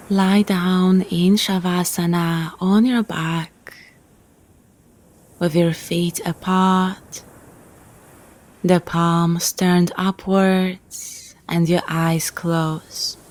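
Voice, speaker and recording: A woman guiding a relaxation meditation in a calm, gentle, soothing manner, leaving long pauses between phrases. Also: lowish voice. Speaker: yoga nidra guide.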